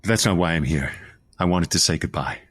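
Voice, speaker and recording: A man playing a falsely contrite rival in an audio comedy, talking smoothly with sly, pointed insinuation. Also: deep voice, fairly quick, a bit bright, dry recording, clean studio sound. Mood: sly, insinuating. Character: Avery Vestibule, slyly needling male rival, falsely contrite. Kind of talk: audio comedy drama dialogue.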